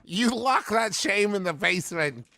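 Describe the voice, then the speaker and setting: High, bright-voiced male comedy podcaster. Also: expressive, unhurried, no room sound, studio quality. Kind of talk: casual comic riffing.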